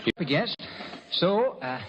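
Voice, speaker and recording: Gritty soldier muttering in muffled old radio. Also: male voice, on the high side, very animated, unhurried, a little hiss or hum. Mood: resolute, wry. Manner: talks aloud to himself, urging himself on. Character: gritty young soldier piloting a primitive submarine, muttering to himself. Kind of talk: old-time radio drama acting.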